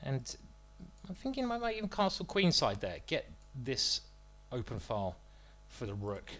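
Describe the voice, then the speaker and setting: A man talks through his chess game live, weighing moves out loud and poking fun at himself between lines. His voice sits at an ordinary middle pitch for a man, and his delivery is loose and chatty rather than polished. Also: huge pitch swings, normal speed. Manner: self-deprecating, joking, thinking aloud about moves. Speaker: chess streamer commentating his own games.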